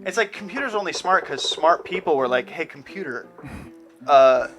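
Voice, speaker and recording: A man's voice: a little high, animated, normal speed, a bit bright, soft background music. A man hosting a casual podcast, bantering in a crude, slangy, jokey way. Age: around thirty. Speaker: podcast host.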